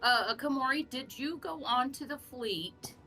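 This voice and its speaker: A woman's voice: sits high, normal speed, over music. A woman who is an experienced player, chatting informally and reassuringly with her gaming group. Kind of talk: casual gaming chat.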